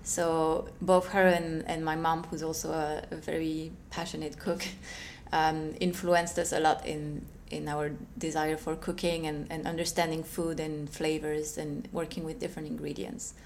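A young woman from Switzerland, a company co-founder, answering interview questions in a soft, relaxed way. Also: deep voice, moderate pace, hardly any pauses, slight background noise.

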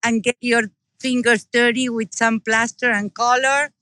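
A woman's voice: fairly high pitch, fairly even intonation, measured pace, no room sound. Enthusiastic Argentine-accented woman. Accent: Argentine Spanish. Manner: warm, enthusiastic, earnest. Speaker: interview guest, business owner. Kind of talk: casual interview talk.

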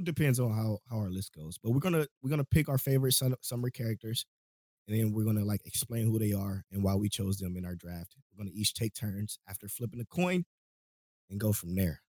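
Low-voiced laid-back male podcast host. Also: dark tone, dry recording, studio quality. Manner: laid-back, rambling, laughs often. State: voice going, catching a cold. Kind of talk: casual podcast chat.

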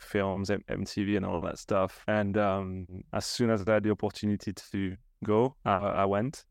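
A man in his thirties with a French accent, a native French speaker, talking casually as a podcast guest in a relaxed, rambling way. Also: lowish voice, creaky, no echo, clean studio sound. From southern France. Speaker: podcast guest, marketing executive. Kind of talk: casual interview chat.

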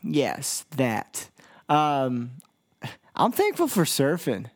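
A man's voice: mid-range voice, wildly swooping pitch. A young man co-hosting a podcast, chatting in a laid-back, teasing way. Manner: laid-back, teasing, mimics his co-host in drawn-out voices. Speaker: podcast co-host. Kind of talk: casual podcast chat.

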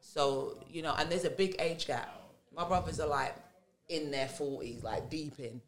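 A young adult woman from England with a London accent, a podcast guest talking candidly and laughing through animated stories. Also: low voice, moderate pace. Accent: London English. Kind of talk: casual chat.

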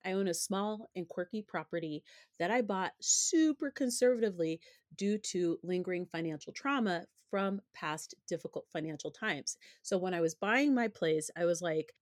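Candid woman podcast host, slightly hoarse. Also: on the low side, a little mellow, no echo, clean studio sound. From United States. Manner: candid, conversational, opinionated. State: slightly hoarse, recovering from illness. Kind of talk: personal finance podcast monologue.

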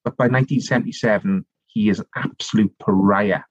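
A middle-aged man from South Wales with a Welsh accent, telling long animated stories as a podcast guest, full of restarts and asides. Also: lowish voice, brisk, no room sound, muffled recording. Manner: animated storytelling, rambling with many restarts and "you know". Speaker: guest, author and politician. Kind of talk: conversational podcast interview.

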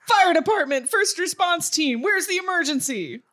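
A woman playing a brisk, commanding firefighter with an urgent, official manner in a comedy sketch read. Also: very high-pitched, expressive, a bit bright, voice pushed up.

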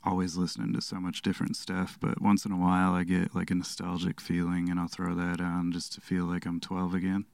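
A man's voice: deep voice, completely flat delivery, brisk, vocal fry, few pauses, a little mellow, dry recording, studio quality. A man in his thirties talking casually as a guest on a podcast. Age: 30s. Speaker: band guitarist guest.

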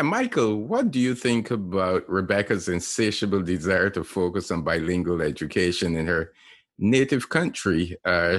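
Low-voiced man from Barbados co-hosting. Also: slightly rough. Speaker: podcast co-host. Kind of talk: casual interview chat.

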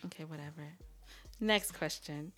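Low-voiced, playful American woman hosting. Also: normal speed, a bit bright, faint music behind. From California, United States. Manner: playful, teasing. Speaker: podcast host asking questions. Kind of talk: casual podcast banter.

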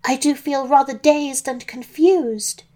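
A woman's voice: high voice, slow pace, mellow, pitched above the performer's own voice. A woman voicing a dazed, dithering old granny who mutters and repeats herself in a children's storybook. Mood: dazed, confused. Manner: muttering, repetitive, flustered.

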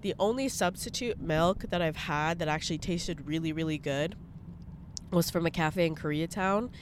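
A woman's voice: low-pitched, moderate pace, a little hiss or hum. A young woman with a congested voice, chatting bluntly in a casual vlog from her parked car, swearing freely. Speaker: vlogger.

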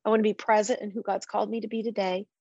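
A woman's voice: mid-range voice, flat delivery, very fast, a little mellow, husky, dry recording, muffled recording. A middle-aged woman guest in a faith-based interview, speaking with passion in a preacher-like way and quoting scripture.

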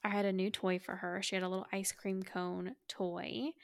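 A woman's voice: mid-range voice, level tone, moderate pace, gravelly, no room sound, clean studio sound. A woman podcast host in a casual, chatty catch-up, talking in a gushing, affectionate way.

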